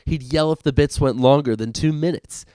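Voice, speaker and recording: A man's voice: fairly high pitch, normal speed, warm tone, clear, resonant tone, no room sound, studio quality. A man hosting a comedic show, reading aloud in an amateur, casual and irreverent way. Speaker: host of a comedic reading podcast.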